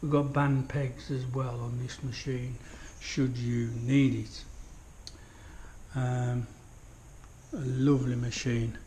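Slow, mellow-voiced man touring his gym. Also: mid-range voice, flat delivery, slight background noise. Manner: unhurried, full of fillers, admiring. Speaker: home gym owner giving a tour. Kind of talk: casual walkthrough commentary. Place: small home gym in a garden building, indoors. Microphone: action camera held while walking.

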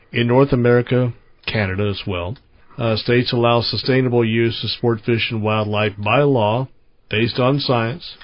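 A man hosts a radio interview with a folksy, plainspoken manner and an even, middle pitch. The sound is a bit dull and muffled, like an older radio recording. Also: dry recording. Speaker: radio show host.

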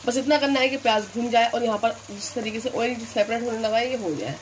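A woman with a Delhi-area Hindustani accent talking calmly through a recipe step by step, as a cooking channel host. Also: on the high side, fast talker, slight background noise, poor audio. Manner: calm instructive, repeats "here" and "this way".

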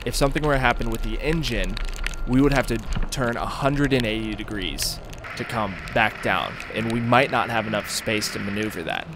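A man's voice: on the low side, normal speed, background noise. A young man, a sailing vlogger and skipper, narrating his voyage in a thoughtful, musing, matter-of-fact way. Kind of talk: reflective vlog narration. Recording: voice-over with loud music behind.

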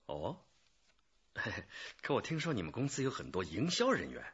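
An actor playing a shrewd, courteous police detective chief in a radio drama, probing in a conversational way. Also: male voice, medium pitch, huge pitch swings, moderate pace, a bit bright, slightly rough, full character voice. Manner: conversational, probing, many thoughtful interjections. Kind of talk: Chinese radio drama acting. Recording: muffled band-limited radio drama audio.

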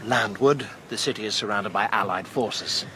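An actor playing a blunt, loyal, world-weary knight in a historical radio drama, dry and plain-spoken. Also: male voice, lowish voice, moderate pace, sharp tone, a little hiss or hum. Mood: blunt, cautious.

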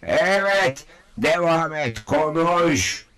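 A man's voice: on the high side, very animated, drawn-out words, fairly bright tone, clear, resonant tone, heavily acted. A man playing a shady, demanding casino boss in a cartoon dub, menacing and imperious. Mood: greedy, commanding. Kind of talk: cartoon dubbing.

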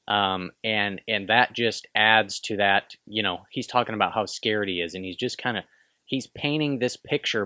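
A man's voice: lowish voice, a bit bright, dry recording. A man in his thirties hosting a casual film breakdown, talking in a rambling, hesitant way into a close microphone in a small room. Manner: rambling, hesitant, full of restarts and "kinda". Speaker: film review host. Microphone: studio microphone close in front.

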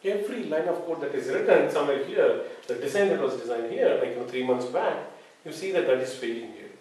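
A man's voice: medium pitch, animated, brisk, a little mellow. A man in his forties with an Indian accent, explaining a lesson as a trainer, emphatically, with rhetorical questions and check-in tags. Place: small bare-walled room indoors. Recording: echoey room, distant microphone.

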